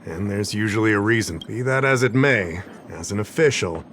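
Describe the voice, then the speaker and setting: Low-voiced, affable government official. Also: male voice, expressive, normal speed, a bit bright, a little hiss or hum. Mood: patient, official. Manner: casual, rambling, easily flustered authority. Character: affable, slightly absent-minded male government security official. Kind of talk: video game voice acting, conversational.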